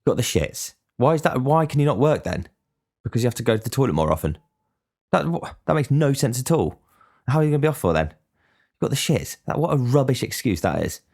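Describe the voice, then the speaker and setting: A man's voice: mid-range voice, sing-song, fairly quick, creaky, darkish tone. A young man giving a blunt, sweary casual monologue as a podcast host. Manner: blunt, swears freely, ranting.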